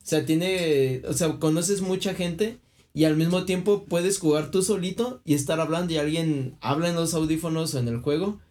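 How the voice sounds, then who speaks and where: A man's voice: on the high side, moderate pace, darkish tone. A young man with a Mexican Spanish accent in a casual, rambling chat about gaming. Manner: rambling, chatty, drops into quoted voices.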